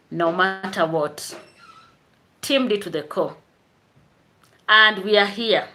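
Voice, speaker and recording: A woman's voice: fairly low pitch, bright, forceful tone, slight background noise. A woman chatting casually on a live stream, greeting viewers by name and laughing often. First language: Swahili.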